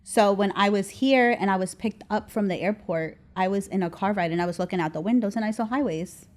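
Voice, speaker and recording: A woman's voice: medium pitch, a little fast, darkish tone. Thoughtful woman in her thirties from Mexico. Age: 30s. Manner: reflective, frequent ums and restarts. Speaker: podcast guest. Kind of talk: casual interview.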